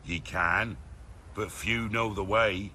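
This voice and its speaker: A man's voice: lowish voice, steady tone, slow pace, sharp tone, slight background noise. An actor playing a weary, sly villager in his seventies in a video game, with a British accent and a wry, folksy manner. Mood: sly, storytelling. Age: 70s. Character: weary, sly old male peasant villager.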